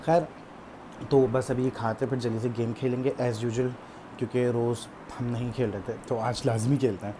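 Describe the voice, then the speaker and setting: A young man chatting casually to a phone camera held at arm's length, in an excited vlog style. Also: medium pitch, moderate pace, noisy background. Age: young adult. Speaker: vlogger. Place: indoors at home at night, dim room. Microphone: phone held at arm's length, earphones.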